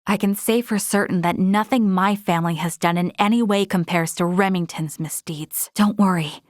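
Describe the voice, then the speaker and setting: A woman's voice: on the low side, steady tone, normal speed, no room sound, studio quality, lightly acted. A woman playing an earnest young female military officer in a space video game, speaking formally and articulately with resolve. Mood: serious. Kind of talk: scripted video game character dialogue.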